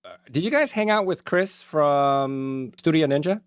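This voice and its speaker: A male podcast host asks curious questions in a chatty manner and laughs easily. His pitch moves a great deal, which gives his speech a lively, animated feel. The recording is dry and close to the mic. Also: unhurried, smooth, no echo. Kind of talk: casual interview chat.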